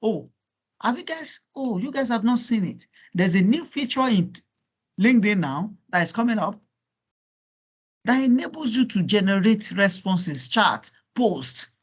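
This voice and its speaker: A man's voice: high voice, expressive, unhurried, husky. A Nigerian man, a native Yoruba speaker, coaching students in an informal workshop with an encouraging, repetitive manner and rhetorical questions. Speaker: guest speaker coaching students on LinkedIn profiles.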